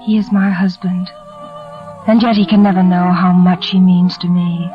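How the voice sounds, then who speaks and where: An actress playing a shy, fearful, devoted young bride, wistful and emotional, in a 1940s radio drama. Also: female voice, fairly low pitch, little pitch movement, normal speed, lightly acted. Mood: yearning. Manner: wistful, hushed, emotional delivery. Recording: dull old 1940s radio recording with music.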